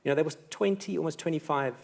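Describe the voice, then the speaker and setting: A man's voice: a little high, quick pace, creaky, darkish tone. Thoughtful South African man. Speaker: debate panellist. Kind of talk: reflective personal account in a live debate. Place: theatre stage, live panel debate.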